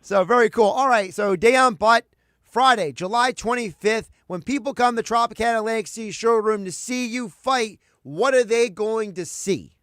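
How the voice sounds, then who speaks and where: A high-pitched male host speaks in a dry, close voice. He leads the interview with long, meandering questions and a chatty, geeky streak. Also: normal speed, fairly bright tone, no room sound. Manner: chatty, rambling questions, self-described geek. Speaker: radio host. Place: home studio.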